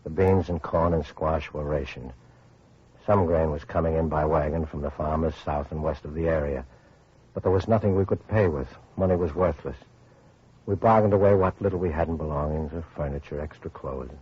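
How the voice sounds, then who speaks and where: Low-voiced, grave survivor narrator. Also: male voice, fairly quick, slightly rough. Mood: sombre, reflective. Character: Stanchion, weary male survivor and grave narrator after atomic war. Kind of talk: 1950s radio drama narration. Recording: muffled old radio recording, music behind.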